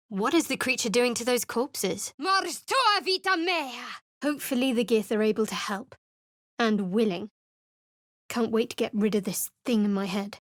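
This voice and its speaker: A woman voicing a guarded, sardonic young cleric of a dark goddess in a fantasy game, speaking in a dry and wry way. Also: on the high side, no room sound, close to an everyday voice. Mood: incanting. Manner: dry, wry and cool, with sly sarcasm. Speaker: party companion in a fantasy video game. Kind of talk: recorded game voice lines. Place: studio booth. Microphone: close studio mic.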